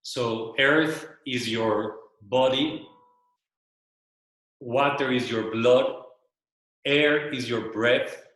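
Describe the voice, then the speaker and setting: Man, Spanish first language, slow and searching casual presenter, recorded in a very echoey room. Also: medium pitch, fairly bright tone. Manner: slow, searching, repeats words while thinking. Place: loft room with a small audience.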